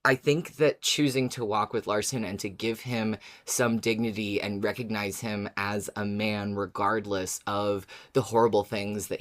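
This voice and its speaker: Thoughtful, agreeable podcast co-host. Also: deep voice. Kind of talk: casual discussion of a story podcast.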